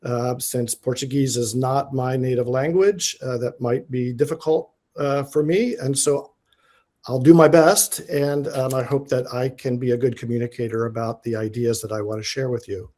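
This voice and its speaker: A man giving an informal academic presentation as a guest lecturer. He is enthusiastic and tries to speak slowly and deliberately, though his speech has many fillers and restarts. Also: medium pitch, fairly even intonation, warm tone. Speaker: guest lecturer, diatom researcher.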